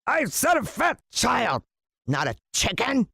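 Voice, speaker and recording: A woman's voice: on the high side, very animated, takes it slowly, gravelly, pushed and bright, slightly rough, no room sound, clean studio sound, voice pushed up, exaggerated voice. A woman voicing a gluttonous, cruel bearded man in a children's storybook, blustering and demanding as he bellows and mutters. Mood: bellowing, demanding.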